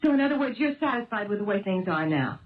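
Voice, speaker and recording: A woman's voice: mid-range voice, expressive, quick pace, close to an everyday voice. A woman playing a dogged, insistent amateur sleuth in a 1970s radio drama, pressing and emphatic, on a muffled old broadcast. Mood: determined, insistent.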